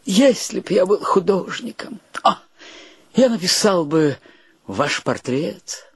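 Casting: flustered romantic young bachelor in radio comedy-drama, high voice, wide swings, gushing exclamations. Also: male voice, expressive, takes it slowly, husky, exaggerated voice. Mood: ardent. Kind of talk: theatrical radio play acting.

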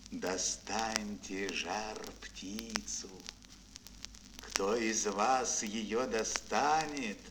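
Elderly fairy-tale king: solemn, fatherly, stately, drawn-out delivery, mid-pitched, noisy old record. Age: older. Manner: slow, solemn, measured declamation. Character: aged fatherly tsar, solemn, stately, pleading with his sons. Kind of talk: fairy-tale audio play acting.

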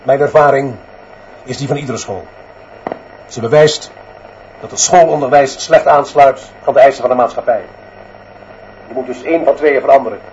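An actor playing an authoritarian, unbending headmaster in a radio play, speaking in measured, pompous pronouncements. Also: male voice, mid-range voice, fairly even intonation, darkish tone, muffled recording, a little hiss or hum, lightly acted. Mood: lecturing. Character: authoritarian, unbending school headmaster obsessed with discipline. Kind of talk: Dutch radio play acting.

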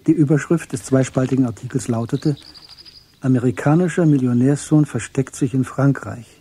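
Very dark, husky male narrator. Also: mid-range voice, moderate pace. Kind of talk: scripted radio play narration.

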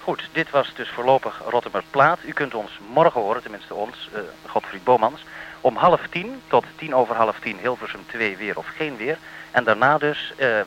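A man's voice: mid-range voice, moderate pace, a bit bright, lo-fi sound. A man working as a radio interviewer, asking questions and making announcements without a script.

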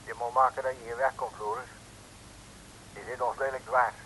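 A man's voice: mid-range voice, flat delivery, moderate pace, pushed and bright, poor audio. An actor playing a sneering male gangster on the telephone, curt, mocking and menacing, in a 1950s radio serial. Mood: threatening. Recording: hissy old radio recording, telephone sound.

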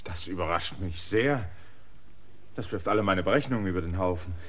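A defiant, insistent land surveyor. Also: male voice, sits low, a little fast, a bit bright.

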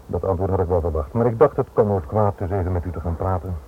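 Through a thin, noisy old radio recording comes a male actor as a Dutch police inspector with a low voice. He speaks formally and measuredly, polite on the surface while insistently warning. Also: quick pace, narrow, tinny audio, natural voice. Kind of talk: radio play acting.

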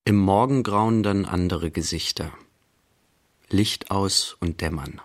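A man playing a detached narrator in a literary radio drama, speaking in terse, solemn, measured phrases. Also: sits low, full character voice.

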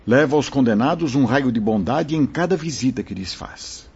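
An actor playing a wise, kindly spirit mentor in a radio drama, speaking in a solemn, formal, explaining way with a husky voice. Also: male voice, medium pitch, expressive, moderate pace, a little mellow. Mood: solemn, benevolent. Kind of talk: radio drama acting, declamatory. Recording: muffled lo-fi radio drama recording.

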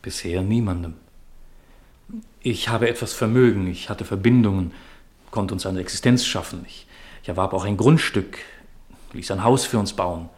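An actor playing a troubled, guilt-burdened widower in a 1950s radio drama, speaking in a grave, formal, confessional way. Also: male voice, low-pitched, moderate pace, pauses often, darkish tone, close to an everyday voice. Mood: burdened, confessing. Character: troubled, guilt-burdened widower confiding his forbidden love.

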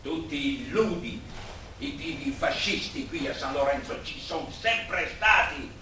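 A man acting a pompous, idealistic gentleman doctor with flowery, declamatory speech in a radio play. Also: a little high, lively intonation, a little slow. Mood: scornful, emphatic. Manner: declamatory, oratorical. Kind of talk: stage-like radio drama acting. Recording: distant, echoey room with faint noise.

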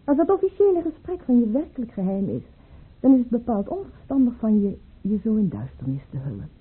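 Very husky, coquettish baroness. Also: female voice, on the high side, very animated, a little fast, full character voice. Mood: knowing, insistent. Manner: arch, wheedling, theatrical. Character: proud, coquettish baroness, teasing and insistent lover. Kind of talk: old-fashioned radio drama acting. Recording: muffled, phone-like old radio recording.

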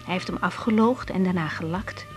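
A woman's voice: on the low side, sing-song, a little fast, a little mellow, soft background music. An actress playing a wry, guarded young newlywed woman doctor in a radio drama. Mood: reflective. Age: young adult. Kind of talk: 1980s Dutch radio drama acting.

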